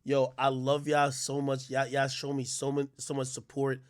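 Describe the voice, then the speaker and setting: A man hosting his own live stream, talking casually and with a lot of swearing as he addresses his chat directly. His voice sits at an ordinary pitch. The sound is close and dry, with no room around it. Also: completely flat delivery, normal speed, no room sound. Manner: loose, profane, talks straight to chat.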